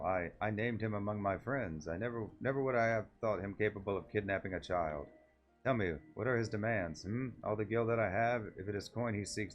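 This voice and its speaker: A man puts on a distraught father from a fantasy game village. He pleads in a low, halting, imploring voice, with faint music behind. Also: lightly acted. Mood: shocked. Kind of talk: voiced reading of game dialogue.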